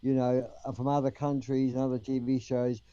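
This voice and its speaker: A man's voice: mid-range voice, flat delivery, a little fast, dark tone, smooth, no room sound, highs cut off. An Australian man chatting casually with the other people on a livestream call. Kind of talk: casual chat.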